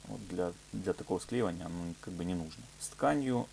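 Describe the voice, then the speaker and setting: A man's voice: sits low, brisk, a little mellow. A man presenting an informal hands-on craft tutorial, explaining step by step with hesitant phrasing and filler words. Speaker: leathercraft workshop tutorial presenter. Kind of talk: informal hands-on product demonstration. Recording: clear background noise with hum.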